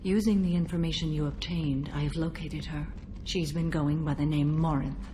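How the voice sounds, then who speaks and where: An actress playing a stoic, centuries-old alien warrior woman bound by a code in a video game, speaking in a measured, formal, solemn manner. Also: sits low, steady tone, dark tone, a little hiss or hum, lightly acted. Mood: grave, resolute. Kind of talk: video game dialogue acting.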